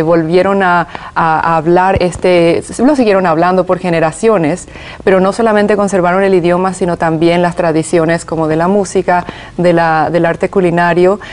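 Woman musician guest, lowish voice, explains with halting restarts and fillers. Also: a little mellow. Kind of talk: TV interview.

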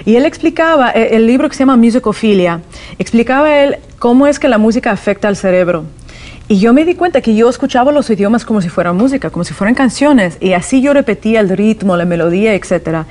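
A woman's voice: on the high side, animated, fast, darkish tone. A woman born in the Soviet Union and raised in California, with Russian as her first language, explaining things as a guest in a TV interview with frequent "eh" fillers.

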